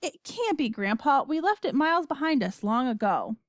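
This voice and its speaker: Woman voicing an eager, high-pitched young boy. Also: very animated, normal speed, dry recording, clean studio sound. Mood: excited. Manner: urgent, crying out.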